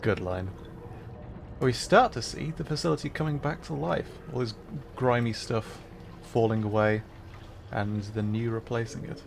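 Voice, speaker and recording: A man's voice: medium pitch, moderate pace, vocal fry, slight background noise. A man giving chatty, casual commentary while streaming a game, laughing and humming along. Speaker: VTuber streamer.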